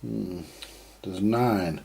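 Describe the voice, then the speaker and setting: A man with a husky voice, giving informal, unscripted hands-on commentary as he mutters through a repair, now and then mildly sweary. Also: sits low, drawn-out words, darkish tone. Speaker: host of a vintage radio repair video.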